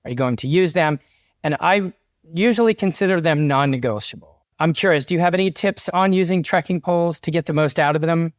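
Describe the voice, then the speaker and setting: Man, podcast host, hiking coach, conversational and affirming, higher voice, dry close mic. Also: brisk, no echo. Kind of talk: interview questions.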